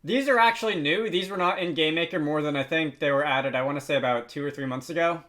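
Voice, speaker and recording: A man narrating a software tutorial in a casual, informal way, pausing often as he explains. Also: a little high. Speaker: tutorial host.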